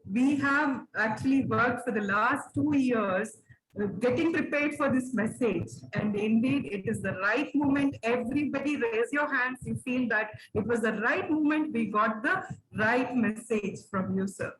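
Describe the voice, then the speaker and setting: A woman with a somewhat high voice delivering a formal vote of thanks. She speaks effusively and reverently, heard in a roomy recording with a faint hiss. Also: a little slow, a bit bright. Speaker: business coach giving vote of thanks.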